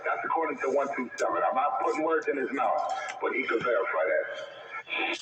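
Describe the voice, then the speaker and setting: A man's voice: medium pitch, sing-song, moderate pace. A man hosting a casual chat show, teasing and needling a guest with sarcasm. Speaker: host. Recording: roomy, dull, noisy and distant.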